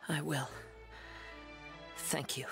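An actor playing a calm, thoughtful young wandering swordsman in a video game, speaking in formal, poetic phrases. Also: on the high side, very slow, creaky, full character voice. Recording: game music behind.

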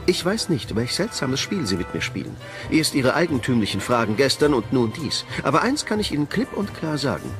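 An actor playing a stiff, pompous, easily irritated hotel receptionist in an audio drama. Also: male voice, medium pitch, very animated, a little fast, few pauses, over music, close to an everyday voice. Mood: indignant. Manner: formal, pompous, clipped.

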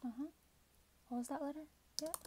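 Casting: woman, soft-spoken and whispery ASMR roleplayer, slightly high pitch, faint noise with typing behind. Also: fairly even intonation, a little fast. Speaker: ASMR creator playing a robot companion clerk. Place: small plain room indoors. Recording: hissy background noise, keyboard typing. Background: keyboard typing and clicks.

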